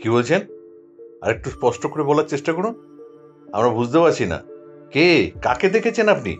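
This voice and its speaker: A man's voice: mid-range voice, very animated, moderate pace, soft background music, close to an everyday voice. Stern police officer played by a man, muffled sound. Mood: probing. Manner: brusque, authoritative, interrogating. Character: stern, commanding male police officer questioning witnesses. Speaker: actor in an audio story. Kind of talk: Bengali audio drama acting.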